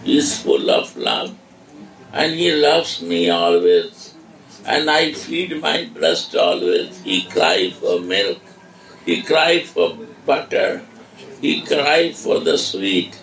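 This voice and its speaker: A tearful, grieving mother, as a man plays her, pleads and scolds in halting broken English. The voice swings widely in pitch and drags slowly with a gravelly edge. It is heard from a distance in an echoey, noisy room. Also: big pitch swings, fairly bright tone, exaggerated voice. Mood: reproachful. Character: grieving mother of a divine child, woman, devoted. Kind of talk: devotional dramatic monologue. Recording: echoey room, distant mic, background noise.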